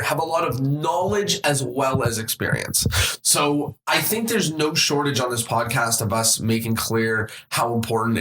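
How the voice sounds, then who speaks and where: A man in his twenties co-hosts a casual podcast. He talks in an informal, rambling, self-reflective way. The sound is roomy, with clear echo around his voice. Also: a little high, fairly bright tone. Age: 20s. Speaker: podcast host.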